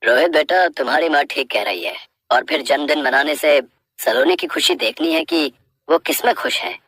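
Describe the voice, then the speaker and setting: Woman playing a kindly, gravelly older father. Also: sits low, very animated, normal speed, a bit bright, no echo, heavily acted. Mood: conciliatory. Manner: mild, reasoning.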